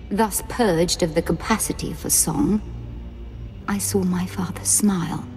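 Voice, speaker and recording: An actress voicing an unstable young female Sith lord, wounded and bitter, with a gravelly voice and a manner that swings from imperious rage to self-pity, in a video game cutscene. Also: fairly low pitch, steady tone, unhurried, a little mellow, faint music behind, natural voice. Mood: haunted recollection.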